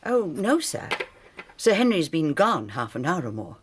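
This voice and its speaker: Polite servant housekeeper, sing-song pitch, deferential, radio play w clattery noise. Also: female voice, very animated, unhurried. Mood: courteous. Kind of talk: radio drama acting.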